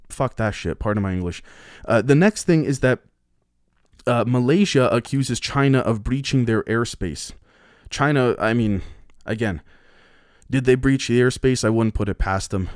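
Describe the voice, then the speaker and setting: A man with a fairly low voice runs through the day's headlines on his podcast. He comments on each one bluntly and swears freely, and he often stops and restarts his sentences. The sound is dry and close, with no room around it. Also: a little mellow, no echo. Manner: blunt, profane, rambling with many restarts. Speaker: podcast host. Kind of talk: casual news commentary.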